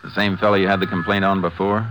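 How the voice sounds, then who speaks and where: A very deep male voice plays an unruffled Texas Ranger in an old radio western. He talks briskly with measured, plain authority, over prominent dramatic music on a vintage recording. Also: flat delivery, a bit bright. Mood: calm, probing. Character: calm, firm, steady male Texas Ranger investigator. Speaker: lead actor and narrator.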